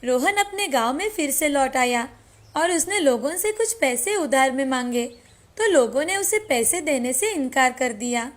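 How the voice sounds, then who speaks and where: A woman's voice: sits high, expressive, moderate pace. A woman storyteller reading a scripted moral tale as narrator. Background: faint background music.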